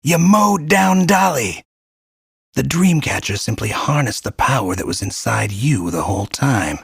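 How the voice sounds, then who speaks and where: An actor playing a folksy, wry mentor and guide in a fantasy video game, with a gruff, husky, drawling delivery. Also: male voice, medium pitch, expressive, unhurried, no echo, clean studio sound, heavily acted. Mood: excited. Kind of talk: scripted game character narration.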